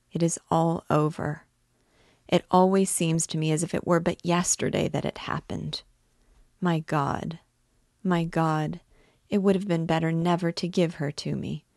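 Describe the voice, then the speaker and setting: A woman's voice: fairly low pitch, vocal fry, no room sound, studio quality, heavily acted. A woman voicing a grieving recluse mother who wails and pleads for her lost child. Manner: wailing, pleading lament.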